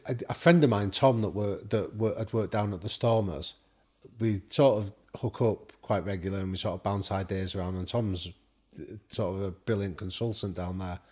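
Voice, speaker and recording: A man's voice: on the low side, normal speed, mellow. A man from the UK, a guest expert, telling anecdotes in casual conversation and acting out the quoted dialogue. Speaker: guest expert on high performance. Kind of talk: conversational storytelling.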